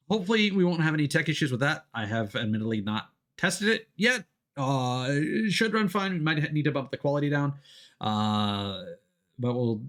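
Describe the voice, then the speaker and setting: A man talking casually to his stream viewers as a game streamer, rambling hesitantly with frequent ums and pauses. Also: on the low side. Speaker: video game livestreamer.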